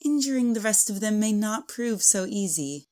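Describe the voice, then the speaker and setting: Bandit, female actor for audio drama: medium pitch, uneasy, hesitant, cautious. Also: expressive, normal speed, darkish tone, smooth, lightly acted. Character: wary female bandit, unsure of the fight.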